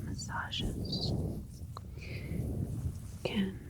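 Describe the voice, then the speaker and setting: A woman voicing a gentle alien scientist in a whispered ASMR sci-fi roleplay, speaking softly and carefully in soothing, clinical phrases. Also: extremely slow. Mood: soothing, attentive. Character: gentle alien scientist doctor tending a newborn plant hybrid.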